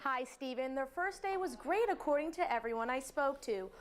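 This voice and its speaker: A young woman with a high voice reads scripted news as a television reporter. Her delivery is formal and built for broadcast. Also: expressive, normal speed.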